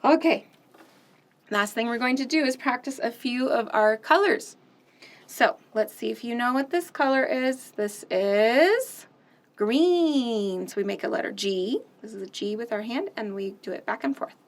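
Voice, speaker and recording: Bright-voiced woman doing kids' storytime. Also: fairly high pitch, measured pace. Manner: animated, sing-song, with loud playful sound effects. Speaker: children's storytime host. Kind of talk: reading picture books aloud and singing to young children.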